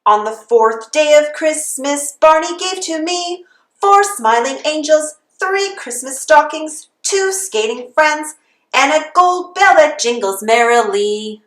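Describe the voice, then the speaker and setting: A woman with a high voice delivers a children's read-aloud in the manner of a Christmas carol. She takes it slowly and steadily. The room adds a clear echo around her voice. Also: lively intonation. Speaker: storybook reader.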